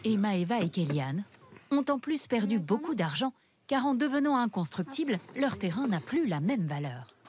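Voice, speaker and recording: A woman's voice: medium pitch, very animated, moderate pace, a little mellow. A woman reading scripted documentary narration for a television report.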